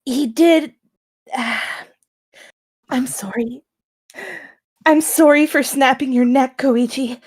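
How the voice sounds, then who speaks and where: A woman playing a jealous, overbearing girlfriend in an online apology skit, halting and earnest as she justifies herself. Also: high-pitched, darkish tone. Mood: contrite, pleading. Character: jealous, overbearing young girlfriend making a tearful-sounding apology.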